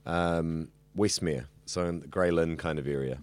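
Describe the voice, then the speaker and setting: A man in his thirties with a deep voice and a New Zealand accent, chatting casually and talkatively in an interview. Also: steady tone, normal speed, smooth, dry recording. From Auckland, New Zealand. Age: 30s. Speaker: radio student being interviewed.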